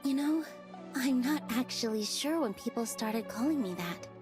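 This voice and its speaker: Woman voicing a modest, higher-pitched mercenary. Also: animated, moderate pace, natural voice. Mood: sincere. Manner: earnest, softly self-effacing. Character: modest, gentle, sincere female desert mercenary. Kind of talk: video game voice acting. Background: background music.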